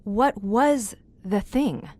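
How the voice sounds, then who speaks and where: A woman's voice: medium pitch, extremely slow, a little mellow. An actress playing an inquisitive, educated woman visitor who questions formally in an audio horror drama. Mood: probing. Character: inquisitive, educated woman visitor, composed but easily appalled.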